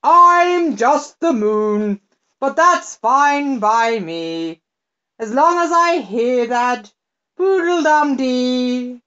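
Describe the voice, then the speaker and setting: A woman voicing a contented moon that sings slowly and loudly. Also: high-pitched, animated, pitched above the performer's own voice, heavily acted. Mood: jolly. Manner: slow, loud, sing-song. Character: booming, singing moon, content. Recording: dull lo-fi recording.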